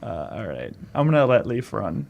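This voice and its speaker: A man's voice: on the low side, big pitch swings, normal speed, vocal fry, darkish tone. A man chatting casually as a player in a tabletop role-playing game.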